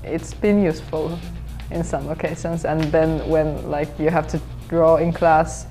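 A teenage girl from Finland, speaking English as a second language, answering interview questions hesitantly with many fillers and soft laughs in a school hallway. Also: low voice, fairly even intonation, unhurried, soft background music. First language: Finnish. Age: teens. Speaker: exchange student interviewee. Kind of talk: casual interview.

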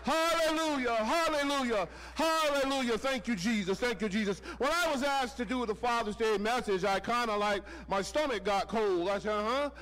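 A middle-aged man preaching a sermon from a church pulpit into a handheld mic, with a loud voice and call-and-response lines. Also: extremely high pitch, normal speed, hardly any pauses, poor audio. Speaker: deacon preaching. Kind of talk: sermon with sung hymn.

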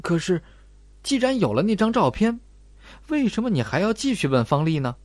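A man voicing an eager, naive young male sidekick in an audiobook, excitable and pleading. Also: sits high, moderate pace, no room sound, voice pushed up.

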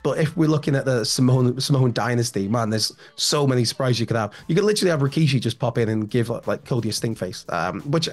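A man in his thirties hosting a solo wrestling news show, his voice slightly hoarse and raspy, chatty and rambling with fillers and asides, recorded at home on a studio mic. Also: mid-range voice, expressive, fast, hardly any pauses, faint music behind. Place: home room with shelves, indoors. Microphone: large studio mic in front, headphones.

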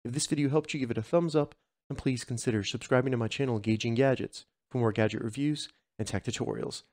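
A man hosting a tech tutorial, giving clear step-by-step instructions in scripted narration. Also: mid-range voice, quick pace, a little mellow. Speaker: host of tech tutorial channel.

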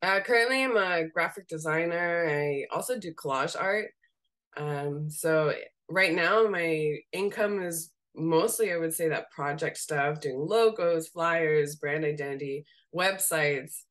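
Low-voiced raspy young woman, laughing. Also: measured pace. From Seattle. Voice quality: raspy voice today. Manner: laughs often while talking, relaxed and candid. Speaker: guest, graphic designer. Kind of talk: casual interview chat. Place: home room. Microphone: video call.

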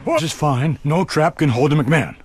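A man's voice: on the high side, lively intonation, moderate pace, over loud music, natural voice. A man voicing a scheming, vain middle-aged businessman in a cartoon, theatrical, ranting and self-pitying. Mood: scheming, indignant. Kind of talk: exaggerated cartoon acting.

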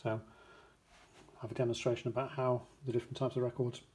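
A man's voice: mid-range voice, little pitch movement, brisk, darkish tone. A man giving a hands-on, step-by-step demonstration in short, matter-of-fact phrases. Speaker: demonstrator of a vintage record player. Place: indoors, beside a wooden radiogram. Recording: clear background noise with mechanical clicks.

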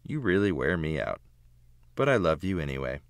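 A man's voice: a bass voice, very animated, normal speed, vocal fry, no room sound, clean studio sound, voice pushed down, exaggerated voice. A man voicing a weary but fond mother pig in a storybook, firm and indulgent, with emphatic delivery.

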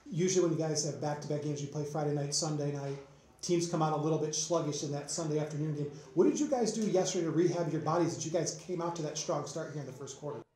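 A man's voice: a little high, fast, a little mellow, very echoey, poor audio. A male reporter asking long, leading questions at a sports press conference.

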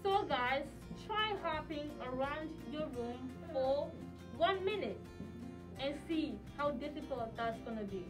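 A young woman in a small classroom, giving children clear step-by-step craft directions. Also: high voice, lively intonation, slow. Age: young adult. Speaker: student teacher leading a craft activity. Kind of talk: instructing children. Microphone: far camera. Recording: distant mic with music behind.

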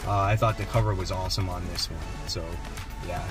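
A man in his forties hosting a casual vlog from a parked car, chatty and relaxed, filmed on a phone at arm's length. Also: sits low, a little fast, over music. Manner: relaxed, chatty, enthusiastic about his finds. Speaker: comic book channel host. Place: parked car, then outdoors and inside a shop. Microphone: phone camera at arm's length.

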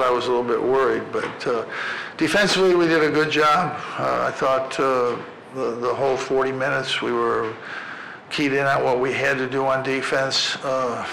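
A man's voice: mid-range voice, gravelly, fairly bright tone. An older man, a basketball head coach, answering questions at a postgame press conference, talking plainly with many fillers and restarts into a podium microphone. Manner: halting, plain-spoken, frequent fillers and restarts. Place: press room at a podium before a sponsor backdrop. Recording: echoey press room with background noise.

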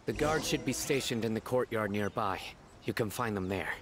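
An actor playing a stern, principled young desert law enforcer in a video game, speaking gravely and formally. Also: male voice, fairly low pitch, moderate pace, noisy background, close to an everyday voice. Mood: measured. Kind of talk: video game character acting.

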